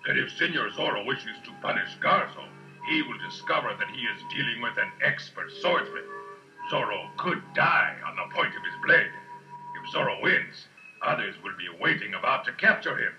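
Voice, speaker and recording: A man's voice: medium pitch, expressive, moderate pace, music in the background, close to an everyday voice. An actor playing a gossipy, earnest townsman of old California in a dramatised storybook. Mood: confiding. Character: townsmen of old California: innkeeper, retired pirate. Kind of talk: dramatised storybook recording acting. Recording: dull, band-limited storybook recording.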